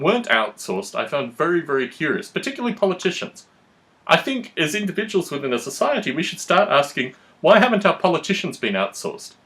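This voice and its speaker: Deliberate, wordy man talking politics. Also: medium pitch, a bit bright. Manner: wordy, deliberate, formal phrasing. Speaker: podcast host. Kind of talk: opinionated political discussion.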